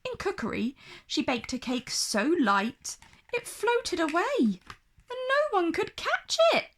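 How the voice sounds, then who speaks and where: Slow, high-voiced woman reading a children's story. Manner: expressive, deliberate storytelling for children. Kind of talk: scripted storybook narration read aloud.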